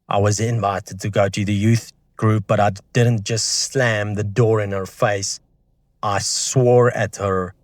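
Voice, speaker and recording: Young man, flat intonation, close dry sound, reflective, earnest, personal testimony storytelling. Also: fairly low pitch, no room sound. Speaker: video creator sharing a personal testimony.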